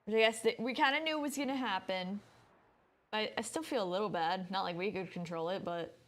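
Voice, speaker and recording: A woman's voice: medium pitch, brisk. A woman game streamer, switching between casual commentary and reading the game's text aloud.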